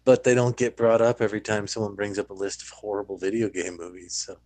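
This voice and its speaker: A man's voice: lowish voice, level tone, fast talker, darkish tone. A man chatting casually as one of the panelists on a podcast. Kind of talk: casual chat.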